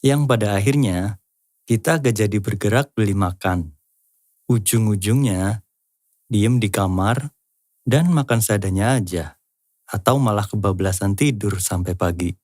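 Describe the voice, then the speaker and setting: A man's voice: on the low side, measured pace, long pauses, a little mellow, dry recording, studio quality. A man hosting an explainer, reading scripted narration in an informal, lightly joking way with casual asides. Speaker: host of a lyric-analysis channel.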